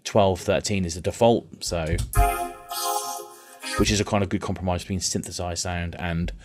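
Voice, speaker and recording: A man explaining music software as a tutor, in an informal, rambling, conversational way. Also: low voice, fairly even intonation, moderate pace. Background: synth and vocoder music.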